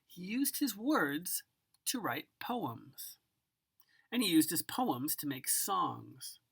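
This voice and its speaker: A woman giving an expressive children's picture book read-aloud, savoring words dramatically and speaking directly to the listener. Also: fairly low pitch, vocal fry, slightly rough. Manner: savors single words with drawn-out, dramatic delivery and occasional whispers. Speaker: storybook reader.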